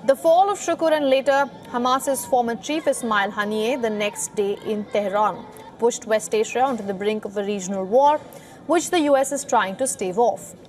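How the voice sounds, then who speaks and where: A woman's voice: on the high side, normal speed, darkish tone. A woman reporter reading a scripted news report in a narrator's delivery.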